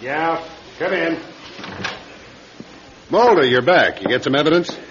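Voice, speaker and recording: An actor in an old radio crime drama playing an authoritative police captain with a hearty, commanding manner. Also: male voice, fairly high pitch, expressive, a little slow. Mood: expectant. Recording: dull, noisy old radio recording.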